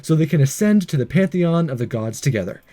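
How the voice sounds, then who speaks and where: A man's voice: a little high, lively intonation, brisk, mellow. A man hosting a game playthrough, reading visual novel text aloud with jokey asides and the odd stumble.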